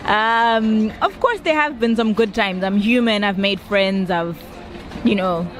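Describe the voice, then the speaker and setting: A woman's voice: medium pitch, a little slow, low-quality recording. A young woman being interviewed, speaking casually in a frank, matter-of-fact way. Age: young adult. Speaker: interviewee, foreign student. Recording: outdoor crowd chatter, music behind.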